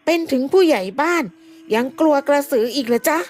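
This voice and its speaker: A woman voicing a rough, cheeky male villager who curses and teases in a fawning way. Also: high-pitched, a little fast, a little mellow, voice pushed up, lightly acted. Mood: teasing fawning.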